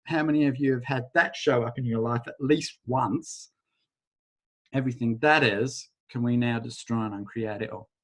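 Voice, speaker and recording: A man leading a live online workshop over a video call, talking in a casual, rambling way and softly chanting a repeated phrase. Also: medium pitch, steady tone, moderate pace. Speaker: workshop facilitator.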